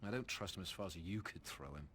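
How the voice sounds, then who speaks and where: An actor playing a cynical, cocky English vampire in a video game cutscene, sardonic and full of slang. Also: male voice, low voice, animated, fast talker, a bit bright, slight background noise. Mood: scheming, wry. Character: cynical, cocky British male vampire, roguish reluctant ally.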